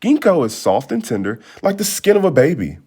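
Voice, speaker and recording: A man's voice: a little high, wildly swooping pitch, fairly quick, mellow, breathy edge, pitched above the performer's own voice, exaggerated voice. A man voicing a clever, bold young girl, the eldest sister in a storybook, with a questioning, sing-song way of calling out. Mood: sweetly tempting.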